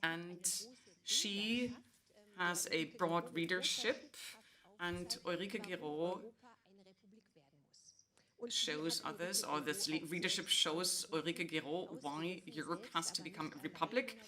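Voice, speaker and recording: Female moderator, bright and forward, lower register, leads a formal panel. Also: slow pace. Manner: introduces guests at length.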